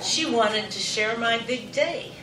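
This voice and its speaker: A woman's voice: on the high side, slow, a loud crowd. An older woman telling a personal story to a live audience with wry, self-mocking humor. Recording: roomy, distant, noisy live recording.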